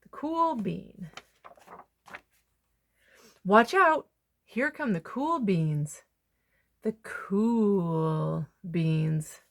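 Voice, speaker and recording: Female storybook reader, mid-pitched, very animated intonation, dramatic pauses for young listeners. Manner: expressive, dramatic pauses and emphasis. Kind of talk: children's picture book read-aloud.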